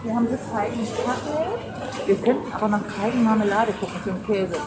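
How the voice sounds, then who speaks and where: A woman's voice: on the high side, fairly quick. A woman shopper in casual chat, recorded from a distance inside a supermarket. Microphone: far ambient microphone. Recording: distant, echoey supermarket with loud noise. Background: loud shop noise.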